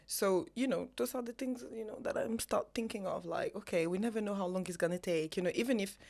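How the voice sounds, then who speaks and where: A woman in her thirties co-hosting a casual podcast chat, talkative and animated, laughing often. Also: medium pitch, quick pace, creaky. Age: 30s. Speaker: podcast co-host.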